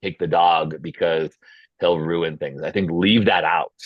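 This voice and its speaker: Man, low voice, casual discussion host, slightly dull lo-fi sound. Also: steady tone, unhurried. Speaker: host of script feedback session. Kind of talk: discussion and feedback, casual talk. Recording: dull, roomy call audio.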